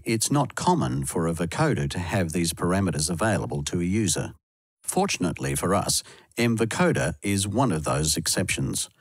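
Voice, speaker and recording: A man reading scripted instructional narration in a measured, explanatory way, as a tutorial voice-over. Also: sits low, breathy edge, dry recording. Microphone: close voice-over mic, dry studio sound.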